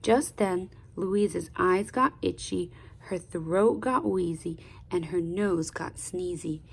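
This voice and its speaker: A woman reading a children's storybook aloud in a deliberate, expressive, teacherly way, pausing to put questions to the listener. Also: lowish voice, gravelly, slightly rough. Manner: deliberate, expressive teacher-like delivery with pauses and prompts.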